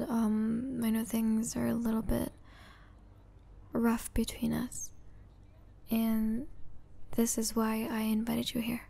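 A woman playing a hesitant, apologetic young student and caring sister in a breathy, whispery ASMR roleplay. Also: on the high side, warm tone, full character voice. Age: young adult. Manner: halting, hesitant. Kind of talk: soft-spoken ASMR roleplay.

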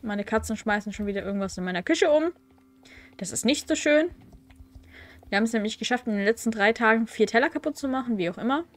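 A woman's voice: a little high, lively intonation, quick pace. Chatty young woman with a cold. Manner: chatty, wry, with frequent fillers and self-corrections. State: has a cold. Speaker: let's play host. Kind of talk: casual gameplay commentary, reading quest text aloud. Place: at home.

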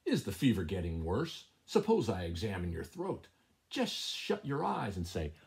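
A sly, hungry storybook cat, as a man reads him aloud offers solicitous help while scheming. The voice is husky and low, with expressive swings. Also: normal speed, exaggerated voice. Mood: falsely solicitous. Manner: smooth-talking, solicitous, scheming. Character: sly, hungry male cat feigning neighborly concern.

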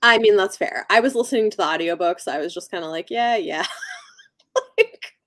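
A young woman talks casually as the co-host of a book livestream. Her voice sits at an average pitch for a woman. The delivery is informal and conversational, aimed at a co-host and online viewers. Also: moderate pace, a bit bright, dry recording, clean studio sound. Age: young adult. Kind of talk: casual chat, reacting to live comments. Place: small book-lined room at home, on a video call.

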